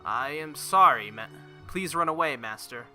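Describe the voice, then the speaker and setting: A man voicing a gravely wounded, devoted woman warrior in a visual novel game, halting and apologetic. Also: mid-range voice, lively intonation, pushed and bright, faint music behind. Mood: apologetic, weak. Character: gravely wounded, faint, devoted female sword-wielding servant.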